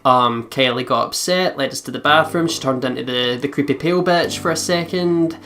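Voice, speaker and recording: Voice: mid-range voice, a little fast, fairly bright tone. A casual let's play host chatting and bantering in slangy commentary.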